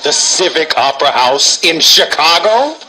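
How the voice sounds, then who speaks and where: A man's voice: a little high, big pitch swings, unhurried, crowd noise, low-quality recording. A man playing an exasperated, fretful Broadway designer in an audio drama. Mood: frustrated.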